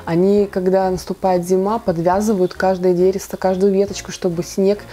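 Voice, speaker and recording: A woman's voice: medium pitch, flat delivery, moderate pace, mellow. A young woman from Kazakhstan vlogging from her bedroom, reading viewer questions aloud and answering them in a chatty way. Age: young adult. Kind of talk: casual Q&A vlog. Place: small bedroom indoors.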